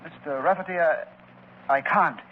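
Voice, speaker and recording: A man's voice: fairly high pitch, moderate pace, close to an everyday voice. A man playing a frightened, hesitant expedition survivor who falters as he speaks in a 1930s radio drama. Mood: nervous. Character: nervous, frightened male sole survivor of expedition. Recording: tinny, noisy 1930s radio broadcast.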